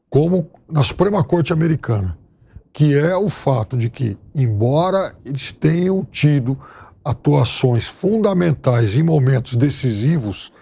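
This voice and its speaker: A man's voice: medium pitch, gravelly, dark tone, husky. A middle-aged man commenting on a TV news panel in a studio, thoughtful and hesitant with restarts. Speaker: political commentator.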